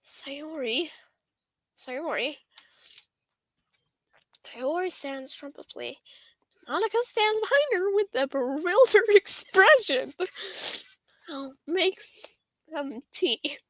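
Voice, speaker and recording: A woman game streamer reading a visual novel aloud, halting and giggly, with casual asides. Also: high-pitched, lively intonation, dry recording.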